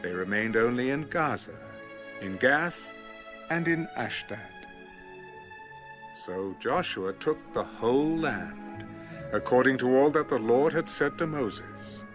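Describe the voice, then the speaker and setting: Male scripture narrator, mid-range voice, measured and formal delivery, with music clearly playing underneath. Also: medium pitch, expressive. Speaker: audio Bible narrator. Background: background music.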